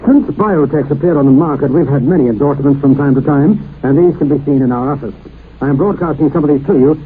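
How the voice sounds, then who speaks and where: A man announcing an old radio advertisement, reading a scripted testimonial in a formal, measured way. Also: on the high side. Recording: tinny, noisy old radio recording.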